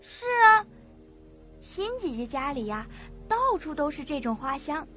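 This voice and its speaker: A woman's voice: sits high, big pitch swings, unhurried, sharp tone, soft background music, full character voice. An actress in an audio drama playing a sweet, forgetful young woman with a naive, hesitant way of talking. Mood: innocent, earnest. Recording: muffled lo-fi audio.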